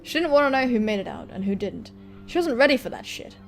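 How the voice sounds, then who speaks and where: A woman reading a visual novel aloud as expressive story narration for a gaming video. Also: on the high side, fast. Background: music, guitar.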